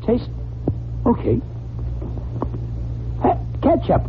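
An actor playing a naive, good-natured, slangy cab driver in 1940s radio comedy-mystery style. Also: male voice, very slow. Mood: excitable, clownish. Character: dim, good-natured, streetwise cabbie, a man. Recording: tinny, noisy old radio recording.

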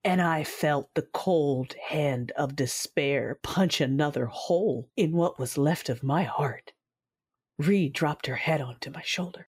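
Low-voiced woman narrating softly. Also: measured pace, husky. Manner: soft, hushed delivery. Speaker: writer, director and narrator. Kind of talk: first-person scripted narration.

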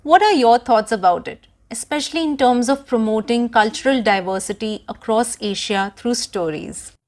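A woman's voice: on the high side, normal speed. A woman hosting a podcast who reads a scripted introduction and asks the guest questions with enthusiasm. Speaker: podcast host.

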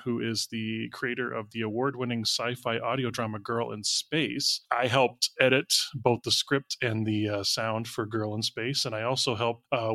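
American man, bright voice with creaky edge. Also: mid-range voice, dry recording. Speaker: interview guest, writer and audio drama director. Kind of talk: casual interview chat.

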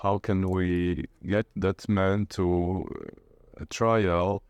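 A man with a Latvian accent, a native Latvian speaker, talking reflectively in an interview and haltingly searching for words. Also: low-pitched, flat delivery, smooth, no room sound. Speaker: interviewee, literature teacher and playwright.

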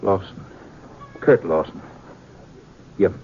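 An actor playing a proud, stubborn American rancher, terse and plain-spoken, in a 1950s Western radio drama. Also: male voice, low voice, slow pace. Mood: grim. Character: stubborn proud male rancher, determined to fight. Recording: noisy, narrowband 1950s radio recording.

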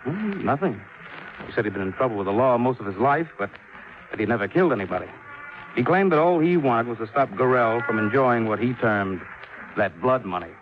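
An actor playing a serious, guarded chief insurance adjuster in a 1950s radio drama, speaking gravely and deliberately. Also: male voice, medium pitch, animated, music in the background, natural voice. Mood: guarded, earnest. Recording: dull, noisy 1950s radio recording.

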